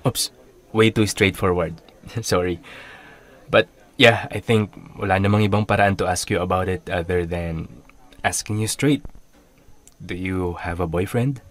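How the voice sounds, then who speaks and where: Low-voiced, confident young Filipino suitor. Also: male voice, unhurried, a bit bright. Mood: smooth, tentative. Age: young adult. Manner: casual, switches between Tagalog and English. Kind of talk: radio drama dialogue in Taglish.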